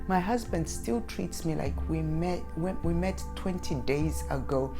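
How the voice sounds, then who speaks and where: Female advice coach, middle-aged, very unhurried and instructive, emphatic on key points, music playing behind. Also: lowish voice, mellow. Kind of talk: direct-to-audience advice talk.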